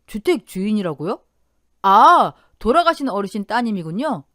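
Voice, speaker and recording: A woman's voice: medium pitch, very animated, moderate pace, fairly bright tone, no echo, clean studio sound. A woman voicing a real estate broker who hesitates and talks evasively. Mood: hesitant.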